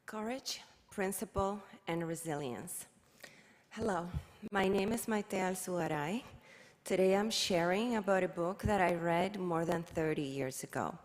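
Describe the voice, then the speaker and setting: Cuban-born woman, low voice, echoing hall. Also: unhurried. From Cuba. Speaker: worship leader. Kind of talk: reflective talk at a service. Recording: long hall reverb.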